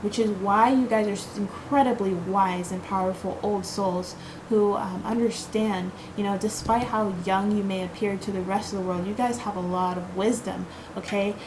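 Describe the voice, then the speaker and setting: A woman reads tarot cards for her viewers in a casual, reassuring ramble, leaning on fillers and "okay?" tags. Her pitch sits in an ordinary middle range. There is clear background noise behind her voice. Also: fairly even intonation, normal speed. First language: English. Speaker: tarot card reader.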